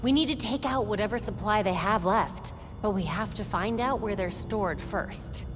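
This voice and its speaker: A woman playing a young, earnest and quick-witted vigilante heroine in a video game. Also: medium pitch, over music, lightly acted. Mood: earnest, resolved. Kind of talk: video game cutscene acting.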